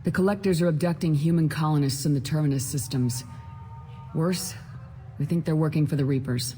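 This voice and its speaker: A woman acting a composed, resolute military commander in a video game, with a measured, firm delivery. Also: sits low, warm tone, faint music behind, natural voice. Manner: measured, firm, matter-of-fact.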